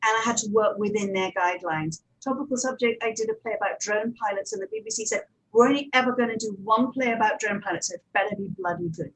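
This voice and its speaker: A woman's voice: medium pitch, expressive, fast talker, few pauses, a bit bright, slightly rough, low-quality recording. A woman giving informal, practical advice as a speaker on a conference panel. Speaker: panel speaker, audio drama producer.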